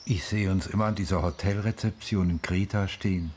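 An actor playing a middle-aged, grieving father in a radio drama, reflective and halting, with a husky voice. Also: low-pitched, fairly even intonation, a little mellow, slight background noise, close to an everyday voice. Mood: wistful. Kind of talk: radio drama, interview-like monologue.

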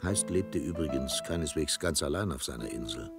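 Deep-voiced male narrator over music. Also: animated, moderate pace. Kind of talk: scripted literary narration for a radio play.

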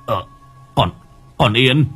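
A man voicing a stern old spirit master who scolds in a gruff, grave way, his tone rising and falling. Faint music plays underneath. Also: mid-range voice, animated, slow, a bit bright.

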